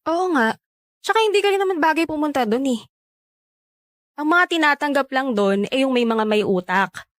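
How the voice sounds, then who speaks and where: A woman's voice: high voice, animated, moderate pace, pauses often, fairly bright tone, dry recording, studio quality. A woman playing a bossy, belittling older sister in a radio drama, with a condescending, scornful manner.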